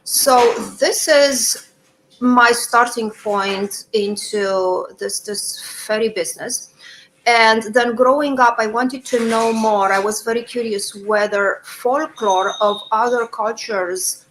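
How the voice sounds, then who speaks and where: A woman from Romania, an English speaker, answering interview questions thoughtfully over a video call, pausing with fillers and laughing easily. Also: on the high side, steady tone, fairly bright tone, a little hiss or hum, poor audio. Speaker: author guest.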